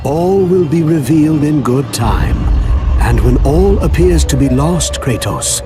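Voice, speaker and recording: A man's voice: fairly high pitch, big pitch swings, unhurried. A man playing a cryptic, knowing old gravedigger in a video game cutscene. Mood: cryptic, wry. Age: older. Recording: loud music behind the voice.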